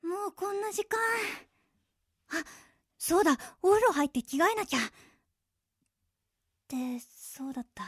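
A woman playing a flustered, sharp-tongued teenage shut-in girl in an anime-style radio drama, cutesy and stammering. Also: extremely high pitch, lively intonation, measured pace, long pauses, dry recording, studio quality. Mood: wistful, anxious.

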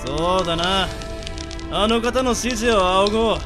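A man playing a cruel bandit sorcerer in an amateur radio drama, drawling threats in a creaky voice. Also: sits high, measured pace. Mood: cool menace. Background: music.

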